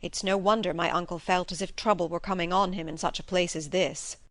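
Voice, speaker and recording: A woman's voice: fairly low pitch, fairly even intonation, a little fast, fairly bright tone, no room sound, natural voice. Woman voicing an earnest, boyish young heir. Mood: uneasy, determined. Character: eager young male heir to an ancient manor. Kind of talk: audiobook character acting.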